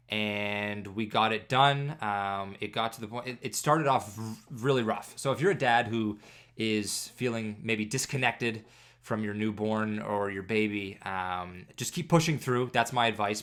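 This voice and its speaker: A man's voice: lowish voice, a bit bright. A man in his thirties from Canada, stuffed up with congestion, hosting a casual solo podcast with self-deprecating jokes. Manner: self-deprecating, jokey storytelling. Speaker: podcast host.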